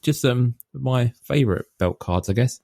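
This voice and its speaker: A man's voice: medium pitch, animated, normal speed, vocal fry, darkish tone, dry recording. A man co-hosting a podcast, talking casually and rambling through a list with lots of ums. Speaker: podcast co-host. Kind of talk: casual chat.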